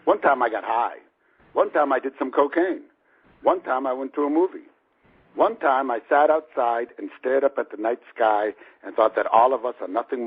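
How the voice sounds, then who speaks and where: Husky guy in his 50s reading his book out loud, kinda wry, dull lo-fi sound. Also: medium pitch. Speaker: novelist reading own book. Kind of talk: audiobook narration of a personal prologue. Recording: muffled, lo-fi radio-like sound.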